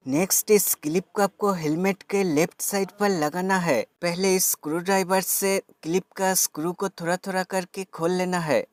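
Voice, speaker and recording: A man narrating a product unboxing and tutorial as a tech reviewer, explaining things methodically step by step. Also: high voice.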